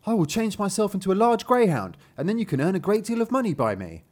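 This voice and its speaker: Male reader as earnest storybook youth, eager shapeshifter, pitch lifted. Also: very animated, fast, darkish tone. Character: eager shapeshifting youth, a fairy-tale son.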